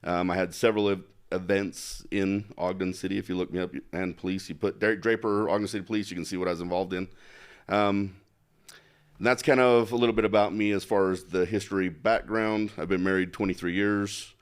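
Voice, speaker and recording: A man from Utah with a very low, deep voice speaks plainly and bluntly. He is middle-aged and loosens his points with fillers and restarts, as an interview guest. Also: fairly even intonation, fairly quick, clear, resonant tone. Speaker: congressional candidate. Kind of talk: casual interview talk.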